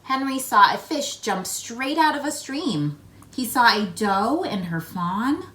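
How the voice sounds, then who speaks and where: A woman reading a picture book aloud to children, with expressive emphasis and chatty asides addressed to the listeners. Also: a little high, takes it slowly, slight background noise. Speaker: read-aloud host for children.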